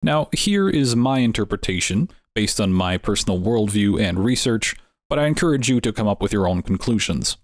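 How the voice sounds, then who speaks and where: A man narrating from a script, measured and explanatory. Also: on the low side, lively intonation, dry recording, clean studio sound. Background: music.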